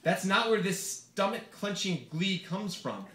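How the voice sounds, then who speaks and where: A man's voice: high voice, big pitch swings, unhurried, sharp tone. A man reading his comic essay aloud to a live audience in a theatrical, profane storytelling manner. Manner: theatrical comic delivery, swearing, mimicking quoted speakers. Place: live reading event in a bar, before an audience. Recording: echoey bar room, noisy live recording. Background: audience laughter, room noise.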